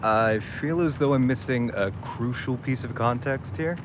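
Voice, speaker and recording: A man's voice: medium pitch, normal speed, dull audio, noisy background, close to an everyday voice. A man playing a supportive, easily exasperated young sibling in a naturalistic audio drama, wry and a bit clueless.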